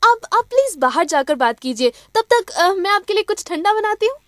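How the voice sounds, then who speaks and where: A woman's voice: extremely high pitch, big pitch swings, moderate pace, no room sound, studio quality. A woman acting a timid, polite young woman in a radio drama, hesitant and deferential.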